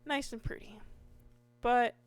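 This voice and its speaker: A woman with a hoarse voice giving casual, meandering commentary over her Minecraft gameplay, restarting sentences often. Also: a little high. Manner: slow, meandering, with many restarts and fillers. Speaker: Minecraft YouTuber.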